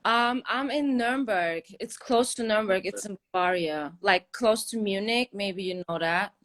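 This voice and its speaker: A woman's voice: mid-range voice, moderate pace, fairly bright tone, rough recording. A woman from Turkey calling in to a live stream, chatting eagerly and laughing easily. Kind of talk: casual chat.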